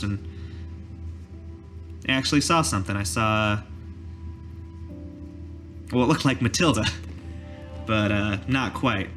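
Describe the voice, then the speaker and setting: A man voicing a weary village cobbler in a tabletop roleplay, telling his story in a halting, folksy way. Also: on the low side, fairly bright tone, close to an everyday voice. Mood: tender, haunted. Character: weary male village cobbler recalling a massacre. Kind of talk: in-character tabletop roleplay monologue. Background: background music.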